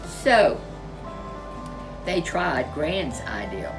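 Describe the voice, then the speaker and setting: A woman storyteller reads a children's book with deliberate emphasis. Her voice sits a little low but moves up and down a lot, and she takes her time. Music plays clearly behind her. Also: big pitch swings, rough recording. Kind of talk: expressive children's storybook narration.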